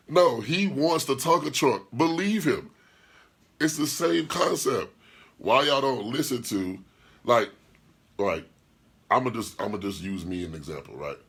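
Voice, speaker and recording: A man hosting a podcast and talking as a DJ, with a deep voice, speaking bluntly and profanely in an opinionated monologue, firing rhetorical questions and answering live chat comments. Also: expressive, a little slow, pauses often, a bit bright, slightly rough. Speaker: podcast host and DJ.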